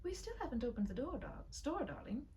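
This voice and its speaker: A woman voicing a prim, affectionate shopkeeper wife in a proper, polite, hushed manner. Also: sits high, sing-song, brisk, far from the mic, slight background noise, voice pushed up. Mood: soft, fond.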